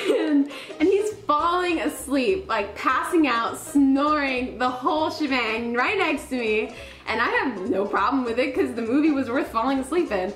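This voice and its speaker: A woman's voice: high-pitched, animated, unhurried, fairly bright tone, echoey room. A woman telling a personal anecdote casually and animatedly, acting out remembered reactions as she goes. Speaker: storyteller recounting a personal anecdote.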